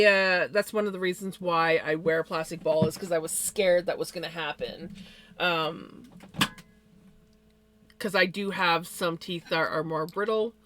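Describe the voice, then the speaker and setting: A woman's voice: fairly low pitch, fairly bright tone. A woman live streamer with a slight lisp, chatting casually and rambling to her viewers with many fillers and little laughs.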